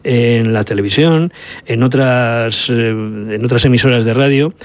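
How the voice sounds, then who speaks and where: A man's voice: mid-range voice, a little slow, dry recording. A middle-aged male journalist with a Basque accent from Bilbao, answering interview questions in a reflective, anecdotal way at a studio microphone. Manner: reflective, anecdotal, laughs easily. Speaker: journalist guest. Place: studio at a narrow table with a chroma backdrop. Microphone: table microphone.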